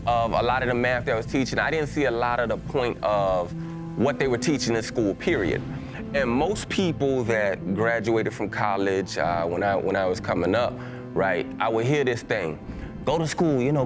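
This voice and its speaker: A man from Texas with a Southern accent, a pastor giving a conversational personal testimony to a church, with frequent restarts and filler words. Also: mid-range voice.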